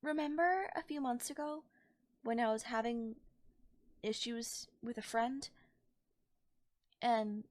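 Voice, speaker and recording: Affectionate girlfriend, played by a woman, comfort audio roleplay. Lightly high pitch, soothing and intimate, very dry close-mic sound. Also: steady tone, slow, long pauses, dry recording. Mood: reassuring. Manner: tender, soothing, coaxing, breathy near-whispers at times. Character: caring, affectionate girlfriend comforting a tired partner. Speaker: voice actor. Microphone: close microphone in a dry space.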